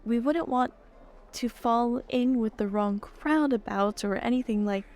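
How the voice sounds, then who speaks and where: A woman playing a concerned, disapproving aristocratic lady in improvised tabletop roleplay, formal and haltingly polite as she probes. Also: fairly high pitch, darkish tone, lightly acted.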